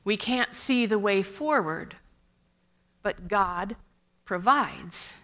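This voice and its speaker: A woman pastor giving a sermon, unhurried and measured, with wry asides, speaking English as a second language after Russian. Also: mid-range voice, expressive, sharp tone.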